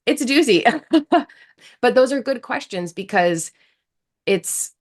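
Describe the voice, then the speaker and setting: A woman's voice: a little high, expressive, slow. A woman in her thirties from the United States, a guest speaking earnestly and reflectively in an interview, with frequent restarts. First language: English. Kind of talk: casual interview talk.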